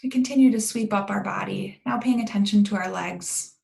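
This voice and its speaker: Creaky-voiced woman guiding a calm meditation. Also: mid-range voice, poor audio. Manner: calm, gentle, unhurried with long pauses. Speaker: guided meditation leader. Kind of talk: slow, guided meditation.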